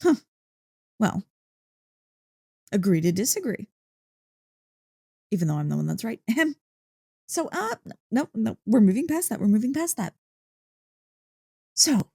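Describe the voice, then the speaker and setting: A woman voicing an excitable, affectionate dog-girl girlfriend in an intimate ASMR roleplay, playful and easily flustered. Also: medium pitch, vocal fry, breathy edge, no room sound, clean studio sound, heavily acted. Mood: teasing, affectionate. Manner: playful, expressive, mock-indignant teasing. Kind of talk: ASMR roleplay, intimate one-sided dialogue. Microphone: close, dry microphone.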